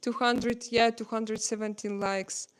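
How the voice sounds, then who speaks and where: A woman with a Russian accent and Russian as her first language, hosting a casual live-stream chat at home and pausing often to search for words. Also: fairly high pitch, flat delivery, clean tone. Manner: halting, searching for words with many pauses.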